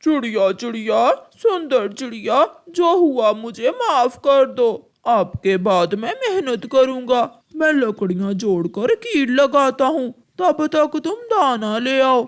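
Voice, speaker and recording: Woman as a slow, high-voiced sly cartoon crow. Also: very animated, exaggerated voice. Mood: wheedling excuses. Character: lazy, sly male crow, wheedling schemer.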